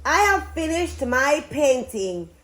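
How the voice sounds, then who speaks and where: A woman voicing a calm, kindly storybook queen in a measured, deliberate way. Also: high voice, exaggerated voice. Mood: pleased.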